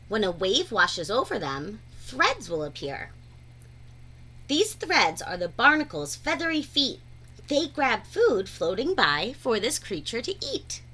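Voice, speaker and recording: Lady reading a rhyming kids book out loud, super swoopy bright voice, sing-song, takes her time. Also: on the high side, breathy edge. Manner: animated, deliberate, sing-song phrasing. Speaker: read-aloud storyteller. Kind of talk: expressive rhyming children's picture-book read-aloud.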